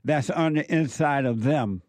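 A man's voice: on the high side, measured pace, darkish tone, no echo. Blunt man questioning a caller. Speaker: radio host. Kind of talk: call-in radio conversation.

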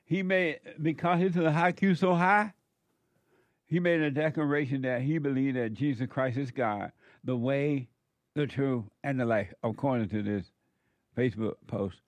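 A man's voice: mid-range voice, measured pace, no echo. A man hosting a casual chat who reacts to posts with laughter and playful repetition. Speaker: show host.

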